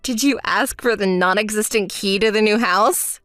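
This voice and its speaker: A woman's voice: medium pitch, normal speed, fairly bright tone, no echo, pitched below the performer's own voice, close to an everyday voice. A woman plays a sensible, weary young woman in a story drama. Her delivery is composed and reproachful, dry and close, with music underneath. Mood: stern. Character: level-headed, weary young woman, exasperated former best friend. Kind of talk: melodramatic dubbed story dialogue.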